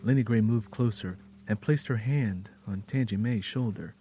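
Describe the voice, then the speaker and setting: A man narrating an audio drama in a measured, solemn, dramatic storytelling style. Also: fairly low pitch, dark tone, soft background music.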